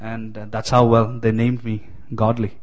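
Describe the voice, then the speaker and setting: Keralan man preaching with many pauses. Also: on the low side, monotone. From Kerala, India. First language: Malayalam. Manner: hesitant, many fillers and restarts, friendly. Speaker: guest preacher. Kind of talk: sermon.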